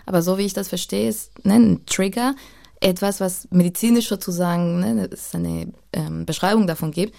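A woman's voice: medium pitch, lively intonation, normal speed, vocal fry, warm tone. A woman in her thirties from Spain, a native Spanish speaker, talking reflectively and casually as an interview guest in loose, searching phrases. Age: 30s. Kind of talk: casual conversational interview, personal storytelling.

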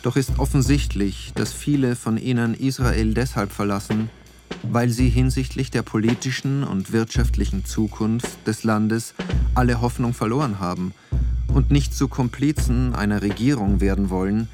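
A man performing as the sober narrator of a documentary radio play, reading in a measured, factual way over music. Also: mid-range voice, close to an everyday voice. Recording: studio voice over music bed. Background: clear music.

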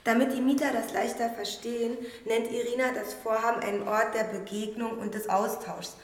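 An actress playing a matter-of-fact guide in a radio play, explaining things in a confiding, conversational way. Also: female voice, a little high, fairly even intonation, moderate pace, close to an everyday voice. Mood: explanatory. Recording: echoey cellar-like room.

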